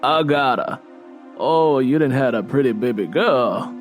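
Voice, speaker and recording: A man's voice: mid-range voice, unhurried, faint music behind, lightly acted. A man voicing an older, nurturing country woman in an audiobook story, folksy and take-charge. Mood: delighted. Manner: folksy, assured.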